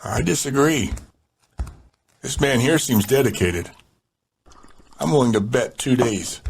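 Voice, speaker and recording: A man's voice: medium pitch, lively intonation, measured pace, vocal fry, a little hiss or hum. A man playing a sadistic gang boss and interrogator in an audio drama, mocking, menacing and controlled. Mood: smug, amused.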